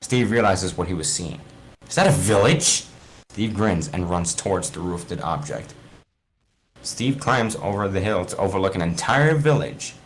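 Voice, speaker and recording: A man's voice: low-pitched, moderate pace, a little hiss or hum. A man reading a fan fiction story aloud informally, stumbling over words and correcting himself. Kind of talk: informal read-aloud narration among friends.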